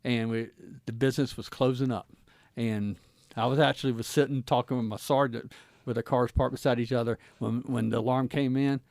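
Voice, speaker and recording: A man's voice: medium pitch, fairly even intonation, a little fast, gravelly, darkish tone, no room sound. Middle-aged American male host, mid-range voice, colloquial and folksy questioning, very dry close sound. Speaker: guest host, former law enforcement officer. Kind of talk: casual interview chat.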